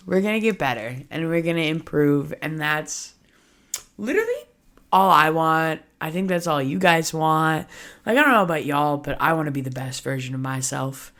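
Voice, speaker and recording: A woman in her early twenties hosting a solo podcast, talking candidly and casually with frequent laughs and lots of "like" fillers. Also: deep voice. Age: early 20s. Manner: chatty, candid, laughs often, many "like" fillers. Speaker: podcast host.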